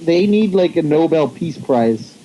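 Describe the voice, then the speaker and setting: A young man reacting to a fanfic reading on a livestream with loud, incredulous heckling. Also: on the high side, lively intonation, unhurried, a little hiss or hum, rough recording. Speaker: co-host commenting on the reading.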